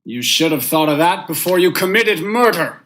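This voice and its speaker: A man's voice: fairly high pitch, expressive, normal speed, fairly bright tone, a little hiss or hum. A young man acting a stern judge and narrator in a vintage radio drama, speaking formally and with measure. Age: young adult. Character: stern, unmoved male judge, husband and brooding narrator.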